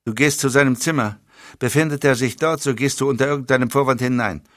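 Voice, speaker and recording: A man voicing a calm, commanding, bone-dry spymaster who speaks in curt, stern orders. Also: medium pitch, fast talker, dry recording, pitched above the performer's own voice, close to an everyday voice.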